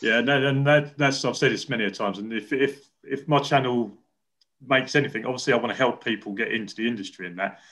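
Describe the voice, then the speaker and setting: A man's voice: mid-range voice, a little fast, fairly bright tone. A young man hosting an interview over a video call, talking in a chatty, rambling way with frequent restarts. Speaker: interview host. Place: living room indoors. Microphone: webcam on video call.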